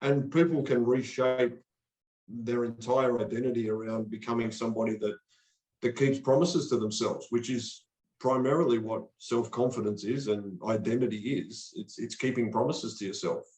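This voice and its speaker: An earnest man from Australia talks like a motivational coach, often doubling words as he gets going. His voice sits at an ordinary pitch. The room around him is echoey. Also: fairly even intonation, normal speed, darkish tone. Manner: earnest, motivational, repeats words when starting phrases. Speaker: podcast guest, coach. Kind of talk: conversational interview.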